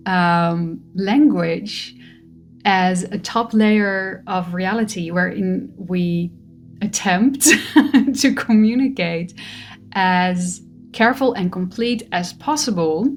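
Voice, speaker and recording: Woman, slow and gentle, reflective spiritual vlog monologue over faint music. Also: lowish voice. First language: Dutch. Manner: gentle, musing, with soft laughs. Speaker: spiritual vlogger.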